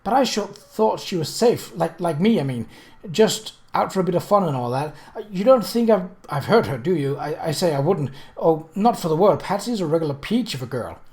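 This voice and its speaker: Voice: mid-range voice, lively intonation, normal speed, darkish tone, voice pushed up, lightly acted. Brief: reader as boyish well-bred young man, earnest, awkward, stammering, full of apologetic exclamations, storybook dialogue. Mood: earnest, anxious. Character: flustered earnest very young upper-class gentleman, awkward and boyish.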